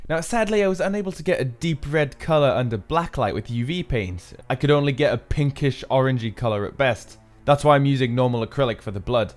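Higher-pitched man narrating a DIY build over music. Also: expressive, fairly quick, hardly any pauses. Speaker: maker presenting a build. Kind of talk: scripted tutorial narration.

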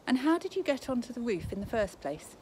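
A woman reading a story aloud in her garden, voicing a frightened but brave young girl who asks sharp, indignant questions. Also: fairly high pitch, sing-song, fairly quick, very noisy, pitched above the performer's own voice. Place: garden outdoors.

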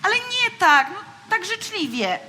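High, childlike little alien girl. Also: big pitch swings, unhurried, loud music behind the voice, heavily acted. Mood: hopeful, playful. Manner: childlike, plaintive. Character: small naive, friendly, easily hurt female alien child. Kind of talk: theatrical children's radio play.

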